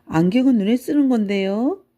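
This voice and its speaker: Woman plays helpful young boy in storybook; polite, curious, mellow tone. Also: fairly high pitch, normal speed, highs cut off, voice pushed up, close to an everyday voice. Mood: polite, explaining. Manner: polite, matter-of-fact. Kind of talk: children's storybook character voice.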